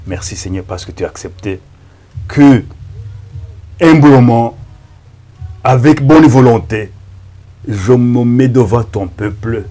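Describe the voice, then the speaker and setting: A middle-aged Rwandan-born pastor whose first language is Kinyarwanda, praying and preaching slowly and solemnly, with frequent amens. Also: male voice, on the low side. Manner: slow, solemn, with frequent amens and hushed thanks. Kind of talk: prayer and sermon introduction.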